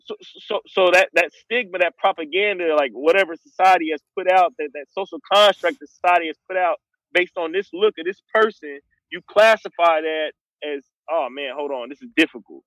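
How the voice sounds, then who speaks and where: A man's voice: on the high side, brisk, a bit bright, no room sound, low-quality recording. A man talking loosely in a casual podcast discussion, rambling and filling with "like" and "you know what I mean".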